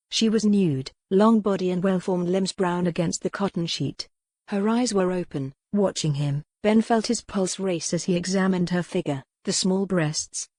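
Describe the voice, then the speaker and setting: A woman's voice: lowish voice, darkish tone, dry recording. A woman reading scripted narration as the narrator of a romance audiobook.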